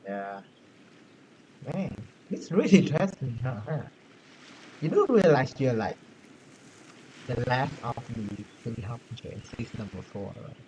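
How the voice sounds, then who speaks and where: A man's voice: medium pitch, big pitch swings, measured pace, pauses often, slight background noise, poor audio. A man streaming a game, giving casual, reactive commentary and sometimes reading aloud. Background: loud game music.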